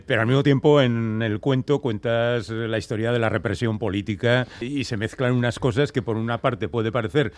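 A man's voice: mid-range voice, normal speed, hardly any pauses, dry recording. Male interviewer, conversational and discursive, very dry close sound.

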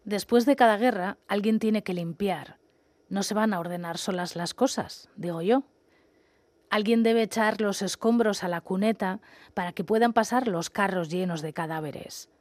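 A woman reading scripted text and verse aloud in a measured, narrated style, recorded in a studio. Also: mid-range voice, darkish tone, no room sound.

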